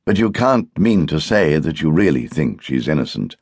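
A man's voice: a bass voice, sing-song, normal speed, darkish tone, husky, no echo, heavily acted. A man voicing a laconic, absent-minded English husband in an audiobook, with a dry, curt, humorous manner. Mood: wry.

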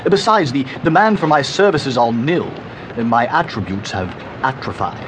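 A man's voice: mid-range voice, lively intonation, moderate pace, natural voice. Man acting a pompous, swooping older poet. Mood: wry, self-pitying. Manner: florid, grandiloquent, wordy delivery. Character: pompous, theatrical, self-pitying older male poet. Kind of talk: comic radio-play acting. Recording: noisy original workshop recording.

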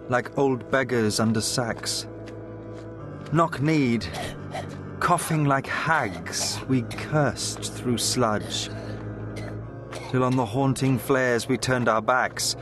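An actor playing a haunted soldier poet of the First World War, reciting dramatically with deliberate intensity. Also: male voice, medium pitch, close to an everyday voice. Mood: weary, grim.

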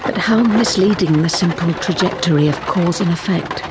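A woman's voice: on the low side, moderate pace, heavy background noise, natural voice. An actress playing a wistful, headstrong lady of the manor in a radio period drama, speaking in formal, literary phrases. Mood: reflective.

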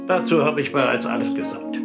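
A man's voice: mid-range voice, brisk, gravelly, over loud music, natural voice. An actor playing a shifty, dismissive male nightclub owner in a German audio drama, evasive and mockingly polite. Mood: scornful.

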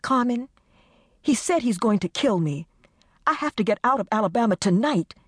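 A woman voicing a panicked young woman who pleads and demands in a frantic, shrill voice. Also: very animated, normal speed, dry recording, studio quality. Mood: frantic pleading.

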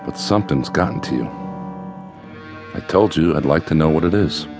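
A low-voiced army captain in a radio war drama, steady and plain-spoken, music behind him. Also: male voice, moderate pace. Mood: concerned, probing.

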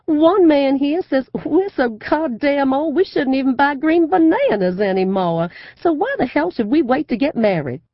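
A woman's voice: high-pitched, animated, moderate pace, hardly any pauses, dry recording, highs cut off, pitched above the performer's own voice. A woman voicing a flamboyant older Southern fortune-teller mother who drawls, wheedles and plays to the crowd. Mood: bossy.

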